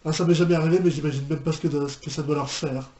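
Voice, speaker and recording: A young man giving unscripted reaction commentary, halting and repeating himself in an incredulous way. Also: on the high side, steady tone. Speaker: reaction video host. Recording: echoey room with background noise.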